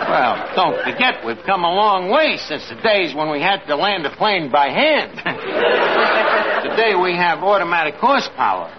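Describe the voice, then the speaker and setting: An actor playing a gullible working-class New York tavern manager in old-time radio comedy, mangling words with bluffing confidence. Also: male voice, sits high, sing-song, a little slow. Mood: bluffing, confident. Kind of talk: old-time radio comedy acting before a live audience. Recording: noisy muffled vintage radio recording, loud audience. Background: live audience.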